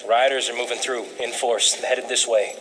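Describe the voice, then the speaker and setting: An actor playing an urgent lieutenant briefing under pressure in a game cutscene. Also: male voice, mid-range voice, fairly even intonation, normal speed, lightly acted. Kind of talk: dramatic game cutscene dialogue. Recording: noisy background with clicks. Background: noise.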